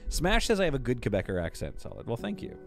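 Playful Canadian streamer with a lively voice. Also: male voice, mid-range voice, fast talker, gravelly, soft background music. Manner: playful, jokey, lively, swings between loud bursts and quiet asides. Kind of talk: casual livestream chat while building in a game. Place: home room with a green screen. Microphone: studio mic on a boom arm.

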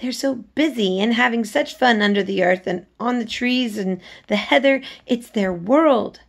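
Lady reading as an outspoken storybook girl, earnest, animated explaining, slightly creaky. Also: a little high, moderate pace, voice pushed up. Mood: enthusiastic.

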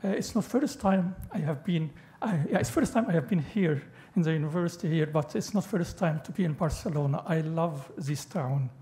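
A middle-aged man whose first language is Arabic delivers an academic talk from a panel table in a big lecture hall, speaking into a table microphone. His voice is mellow and soft-edged. He stumbles through fillers and repeated starts and slips in dry joking asides. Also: a little high. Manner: halting, many fillers and restarts, joking asides. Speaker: guest lecturer.

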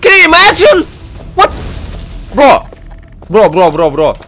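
Excitable male gamer with wildly swinging pitch. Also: measured pace, faint music behind. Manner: exclamatory, stammering, slangy. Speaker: gaming video host. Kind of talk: excited gameplay commentary.

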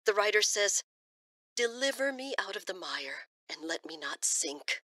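An actress playing a devout, concerned mother in a radio drama, solemn and gently urgent. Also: mid-range voice, sing-song, measured pace, no room sound, clean studio sound, heavily acted. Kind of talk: radio drama acting, reciting scripture.

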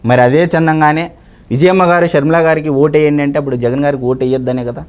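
A man's voice: a little high, fairly even intonation, quick pace. A man giving his political opinions conversationally as an interviewee, emphatic and repeating phrases to drive points home. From Andhra Pradesh, India.

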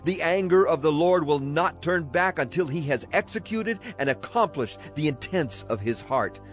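A man's voice: a little high, lively intonation, moderate pace. A man gives a solemn, declamatory dramatized reading of scripture as a Bible narrator. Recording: clear music behind the voice. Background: music.

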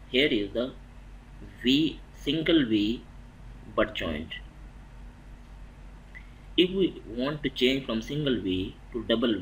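Low-voiced man giving a methodical lecture. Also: slight background noise, poor audio. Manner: methodical, halting, restarts mid-phrase. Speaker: welding inspection instructor. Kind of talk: slide-based technical lecture. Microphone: voice-over on slides.

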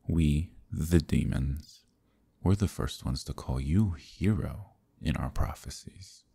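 A man voicing a calm, condescending demon king villain in an ASMR roleplay, menacing and seductive with a smug, softly threatening delivery. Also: exaggerated voice. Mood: seductive, persuasive. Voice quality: very deep, gravelly, breathy. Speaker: voice actor.

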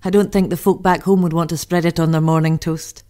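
An actress plays a homesick woman keeping a diary at the front in the First World War. Her voice is on the low side, chatty in an audio drama monologue. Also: steady tone, fairly quick, warm tone, natural voice. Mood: wistful, chatty.

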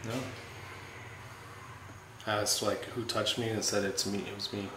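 A man's voice: on the low side, little pitch movement, measured pace. A man exploring an abandoned house who says uneasily that something touched him. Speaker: fellow explorer. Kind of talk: casual chat. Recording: distant, echoey, very noisy.

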